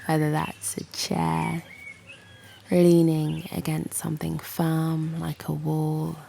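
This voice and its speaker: A British woman guiding a meditation in a soothing, breathy near-whisper, leaving long pauses between short phrases. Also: low voice, completely flat delivery. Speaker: meditation guide and channel host. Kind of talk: slow guided yoga nidra meditation. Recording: faint background noise with birdsong. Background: birdsong and soft nature ambience.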